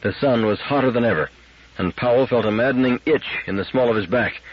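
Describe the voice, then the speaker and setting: A man with a husky voice giving a scripted narration of a science fiction story as an audiobook reader. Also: mid-range voice, fairly quick, lo-fi sound.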